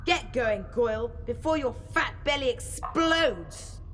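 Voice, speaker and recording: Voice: medium pitch, expressive, slow pace, a bit bright, soft background music. An actor playing a snobbish, spiteful English schoolboy wizard with a sneering, haughty manner in a video game. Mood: irritated. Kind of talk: video game cutscene voice acting.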